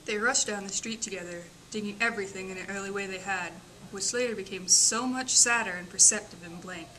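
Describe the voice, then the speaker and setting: Bright-voiced woman reading aloud. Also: medium pitch, fairly quick, slight background noise, rough recording. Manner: expressive, building to emphatic passages. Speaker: student reader. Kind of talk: amateur dramatic reading of a novel.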